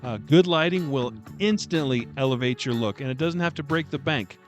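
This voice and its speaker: A man with a deep voice giving casual, self-deprecating vlog tips at home, talking close into a dynamic microphone. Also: normal speed, faint music behind. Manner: chatty, self-deprecating, animated. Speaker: booktube vlogger. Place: home room lined with bookshelves.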